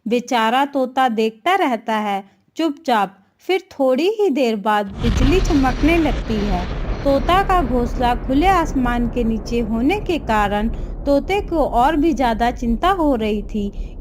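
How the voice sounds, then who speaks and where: A woman's voice: high-pitched, animated, takes it slowly, noisy background. A woman narrating a scripted moral tale for a cartoon as the storyteller.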